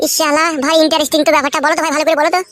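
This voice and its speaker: A man's voice: very high-pitched, level tone, rapid-fire, fairly bright tone. A man voicing a generous, inquisitive village householder in a comic cartoon, insistent and chatty. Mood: curious, probing. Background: music.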